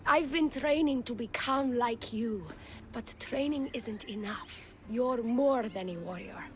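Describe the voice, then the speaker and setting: Earnest warrior trainee, extremely slow delivery, fairly high voice, video game drama. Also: female voice. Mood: intent, admiring. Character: earnest warrior-in-training, reverent toward her idol. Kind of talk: video game cutscene acting.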